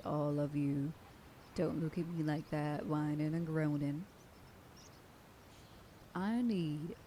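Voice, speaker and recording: A woman's voice: deep voice, dark tone, a little hiss or hum. Woman doing a bossy werewolf sheriff lady, stern and commanding, bossing her pack around, slips into whispers, asmr roleplay. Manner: stern, commanding, lectures a group. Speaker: voice actor.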